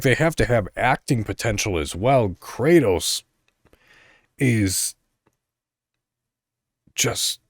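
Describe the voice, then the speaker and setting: Deep-voiced man rambling on a podcast. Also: no echo, studio quality. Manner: rambling, thinking aloud, mild swearing. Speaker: podcast host. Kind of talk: casual solo podcast chat.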